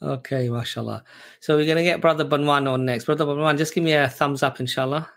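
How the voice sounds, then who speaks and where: A man's voice: on the high side, steady tone, quick pace, gravelly, a bit bright. A man hosting a live call-in stream, talking conversationally, affirming his callers and repeating himself as he guides them. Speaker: host.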